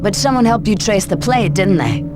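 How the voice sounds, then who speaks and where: A woman's voice: medium pitch, very animated, natural voice. Composed female interrogator, acted, music behind. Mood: probing. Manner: measured, pointed questioning. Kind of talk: video game acting.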